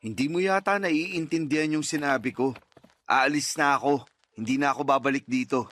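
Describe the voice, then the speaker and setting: A man's voice: a little high, normal speed, fairly bright tone, a little hiss or hum, lightly acted. A man playing a callous, selfish partner in a radio drama, speaking in a cold, blunt, dismissive manner. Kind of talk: Tagalog radio drama acting.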